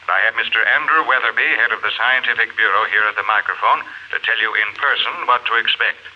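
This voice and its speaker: An actor playing a brisk, folksy, reassuring radio reporter giving a 1950s news bulletin in punchy announcer style. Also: male voice, lowish voice, close to an everyday voice. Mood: urgent. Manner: punchy, chatty announcer delivery. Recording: muffled 1950s radio broadcast.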